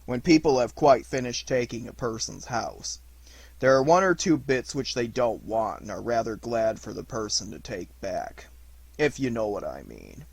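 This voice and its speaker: Guy doing a mopey donkey from a kids story, deadpan, draggy, bit husky. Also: medium pitch, no echo, heavily acted. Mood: gloomy, resigned. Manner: mournful, slow, deadpan. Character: gloomy, melancholy, self-pitying male donkey, slow and resigned.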